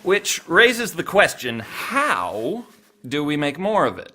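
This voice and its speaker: A man's voice: on the high side, huge pitch swings, takes it slowly, sharp tone, exaggerated voice. An actor playing an eccentric, eager scientist in an audio drama, a pedantic man who stammers and repeats himself as he explains. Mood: eager lecturing.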